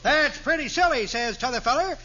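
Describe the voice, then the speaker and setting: A man's voice: very high voice, expressive, bright, forceful tone, full character voice. An actor playing a folksy, cackling old man who spins jokes in rustic speech, in old radio comedy style. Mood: gleeful joking. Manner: rustic, ungrammatical, drawn-out storytelling. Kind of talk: 1940s radio comedy acting. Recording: very noisy, muffled vintage radio recording.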